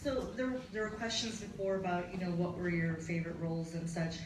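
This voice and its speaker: A woman's voice: on the low side, fairly quick. A woman asking a spontaneous press conference question, tentatively searching for her words. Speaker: audience member asking a question. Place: arts center hall at press conference. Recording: echoey room, distant mic, clear noise.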